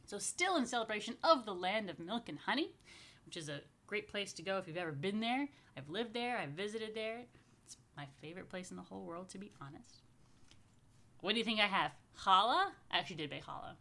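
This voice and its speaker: A woman's voice: mid-range voice, lively intonation, a little fast, pushed and bright. Casual, playful woman rabbi chatting to families. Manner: playful, informal asides; addresses viewers directly with questions and invitations. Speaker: rabbi hosting family story time.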